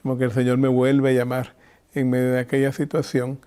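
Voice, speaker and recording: An older Nicaraguan man speaks with a very mellow, relaxed tone at an average pitch. He explains things in a measured, reflective way, as a bishop being interviewed. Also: smooth. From Chinandega, Nicaragua.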